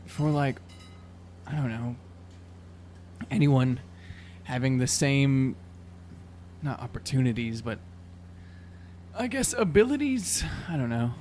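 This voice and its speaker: Halting man player, faint hum behind. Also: medium pitch, expressive, darkish tone. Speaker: tabletop game player. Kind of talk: casual improvised role-play chat.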